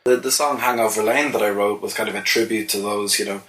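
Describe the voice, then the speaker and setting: Low-voiced Irish man, halting and thoughtful. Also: fairly even intonation, a bit bright. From Ireland. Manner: thoughtful, halting, many restarts. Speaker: songwriter guest. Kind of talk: casual interview answers.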